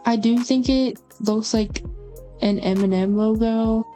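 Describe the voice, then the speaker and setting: A young woman as guest graphic designer, giving measured, hesitant opinions in a casual chat. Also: steady tone, warm tone, soft background music. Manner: measured, hesitant, low-key.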